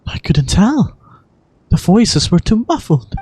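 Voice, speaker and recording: A woman's voice: fairly low pitch, sing-song, slow, warm tone, husky, heavily acted. A woman acting an earnest, dutiful, concerned adventurer in scripted video game dialogue.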